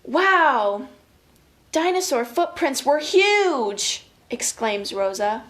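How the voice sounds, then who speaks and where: An American woman leading children's story time as an educator, expressive and chatty, reading and giving instructions directly to young listeners. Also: high-pitched, very slow. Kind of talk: animated picture-book reading and casual guided instruction for young children.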